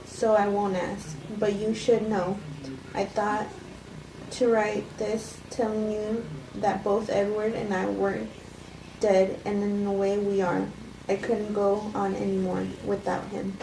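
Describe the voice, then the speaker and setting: A woman reading the part of a subdued, guarded wife in a flat, unpolished table read of a film script. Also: mid-range voice, a little slow, lightly acted. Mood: somber, resolute. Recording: roomy, distant mic, clear background noise.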